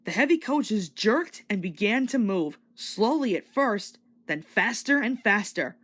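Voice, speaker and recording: A woman reading a children's story aloud as an expressive, scripted read-along narrator. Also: mid-range voice, a little slow.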